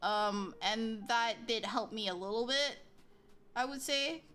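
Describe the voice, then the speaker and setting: A woman with a fairly high voice chats loosely with her stream, filling her sentences with likes and ums and poking fun at herself. Soft music plays underneath. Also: slow. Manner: rambling, lots of likes and ums, self-deprecating. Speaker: livestreamer reading chat. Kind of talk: casual chat with viewers. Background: soft background music.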